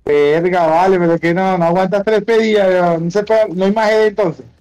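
Venezuelan dude on game voice chat, pretty high voice, swears a lot, bit of music behind. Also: male voice, steady tone, a little fast, a little mellow, smooth, low-quality recording. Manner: slangy, swearing. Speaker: streamer playing an online game. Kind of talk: casual voice chat while gaming.

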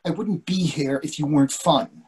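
A man's voice: a little high, moderate pace, darkish tone, lightly acted. A man in his sixties playing a dry, evasive husband with a questioning manner, in a play read over a video call. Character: dry, evasive older husband itching to escape.

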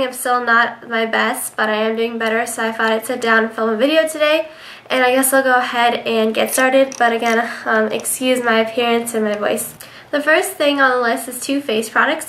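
Nasal, sick young woman, bright voice. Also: fairly high pitch. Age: young adult. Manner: chatty, many ums. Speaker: beauty vlogger. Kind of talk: casual product review. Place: bedroom indoors.

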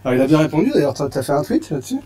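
A man's voice: on the high side, big pitch swings, rapid-fire, mellow. Hesitant French man giving a meetup talk. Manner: hesitant, many fillers and restarts. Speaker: meetup presenter. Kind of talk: casual conference talk. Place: meetup room with audience.

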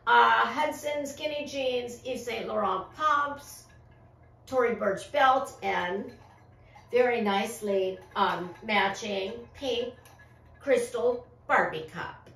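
A middle-aged woman hosting a casual commentary vlog with a theatrical, sassy, drawn-out delivery. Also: on the high side, gravelly, a bit bright. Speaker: host of a crime and fashion commentary show. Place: small furnished room, indoors. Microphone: far camera microphone. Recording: echoey room, distant microphone.

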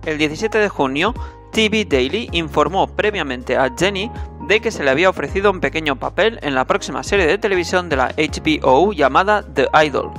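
A man's voice: a little high, expressive, brisk, a bit bright. A man hosting a scripted entertainment news roundup, addressing viewers directly as a presenter. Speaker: host of a Korean entertainment news channel. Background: music under the voice.

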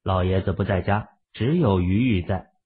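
A man's voice: deep voice, normal speed, breathy edge. A man narrating a novel as a scripted dramatic audiobook. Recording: muffled, phone-like, lo-fi audio.

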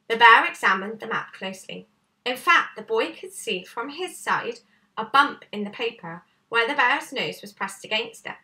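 Female storybook reader, thirties, teacherly, expressive delivery, recorded at some distance from the mic. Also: fairly high pitch. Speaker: teacher reading to pupils. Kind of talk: storybook narration read aloud. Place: small bright room indoors at home. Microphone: camera a short way off. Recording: slightly distant camera microphone.

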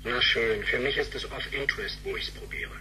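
An actor playing a flippant teenage hacker son in a sci-fi radio play, talking in clipped, affectedly cool slang over an intercom-like speaker. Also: male voice, medium pitch, brisk, background noise. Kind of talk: radio drama acting.

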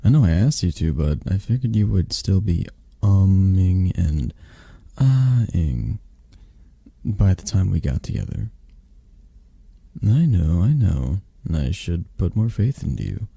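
Very deep, mellow, tender dragon boyfriend played by a man. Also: big pitch swings, gravelly, breathy edge, no room sound, heavily acted. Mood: playful, teasing. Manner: soft, teasing, reassuring, hesitant pauses. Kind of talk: intimate ASMR roleplay. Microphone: close, dry microphone.

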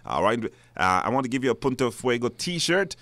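A man's voice: mid-range voice, sing-song, moderate pace, a bit bright, no echo. A man with a Belizean accent hosting a live radio call-in show, putting questions to callers. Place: radio studio.

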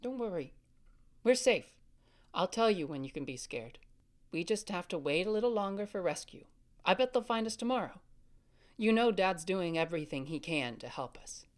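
An actor playing a caring older brother, gently soothing his little sister and telling her a bedtime story in a family audio drama. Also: high voice, animated, normal speed.

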